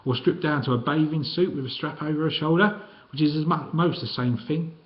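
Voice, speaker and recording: A man's voice: on the high side, fairly quick, lo-fi sound, voice pushed up, lightly acted. A man voicing a scrawny, sniggering old male petty crook in a storybook reading. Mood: chummy.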